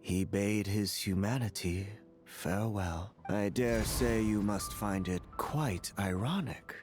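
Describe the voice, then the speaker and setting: An actor playing a somber, enigmatic wanderer in a video game, grave and measured with a wry edge. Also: male voice, lowish voice. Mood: grave irony. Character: somber, enigmatic male wanderer recounting an old tragedy. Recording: background music behind the voice.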